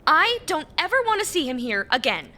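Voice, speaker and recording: High-voiced, fussy workplace woman. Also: very animated, fairly quick, bright, forceful tone, full character voice. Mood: concerned disapproval. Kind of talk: audio drama acting.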